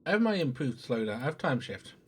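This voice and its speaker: A man's voice: mid-range voice, sing-song, quick pace, husky. A man giving casual live game commentary as a streamer, with a dry, self-deprecating, sweary manner.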